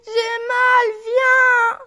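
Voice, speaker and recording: A woman's voice: very high voice, steady tone, drawn-out words, fairly bright tone, no echo, studio quality, full character voice. A woman voicing a young girl who has hurt herself in a fall, whimpering and crying out in pain.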